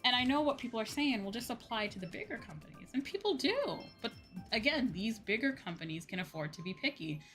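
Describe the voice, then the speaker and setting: A woman's voice: a little high, very animated, fairly quick, fairly bright tone, faint music behind. A woman streamer chatting casually and informally to viewers, musing aloud and circling back over her phrases. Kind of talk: casual chat while livestreaming a video game.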